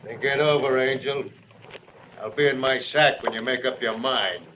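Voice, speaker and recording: An actor playing a cool, insinuating lover and schemer in a mid-century radio drama. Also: male voice, medium pitch, fairly even intonation, normal speed, close to an everyday voice. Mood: cool, threatening. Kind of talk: 1950s radio drama acting. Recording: very noisy old radio, distant from mic.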